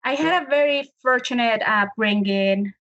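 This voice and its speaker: A woman's voice: fairly high pitch, moderate pace, fairly bright tone, muffled recording. A woman in her late thirties from Colombia with a Colombian Spanish accent, a Spanish speaker answering interview questions in a thoughtful way and restarting as she searches for words. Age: late 30s. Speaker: guest.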